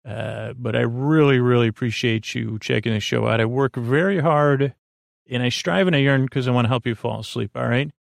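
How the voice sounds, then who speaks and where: A man hosting a sleep podcast, talking in a slow, meandering, soothing monologue that often loses its thread, with a creaky voice. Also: medium pitch, no room sound. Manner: meandering, self-interrupting, gently soothing. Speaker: podcast host. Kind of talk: slow rambling bedtime monologue.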